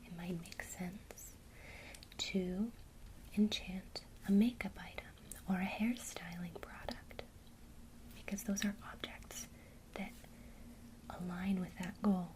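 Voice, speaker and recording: A woman whispering softly and calmly through a guided ASMR lesson on casting a spell. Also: fairly low pitch, low-quality recording. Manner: soft unvoiced whisper, slow and calm. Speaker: ASMR creator teaching witchcraft.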